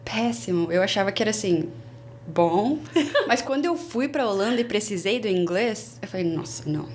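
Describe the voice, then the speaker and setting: A Brazilian woman in her twenties, a former au pair, telling her story in a casual interview with lively restarts. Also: medium pitch, moderate pace, a little hiss or hum. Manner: chatty, animated, many restarts.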